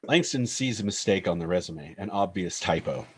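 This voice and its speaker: A man reading screenplay stage directions as narrator in a scripted style, with a husky voice. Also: sits low, expressive, moderate pace.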